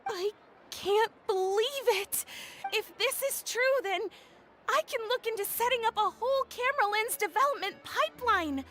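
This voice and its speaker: A woman's voice: extremely high pitch, expressive, moderate pace, a bit bright. A woman voicing a dramatic, grandiose young female engineer in a video game. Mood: excited. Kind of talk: English video game voice acting.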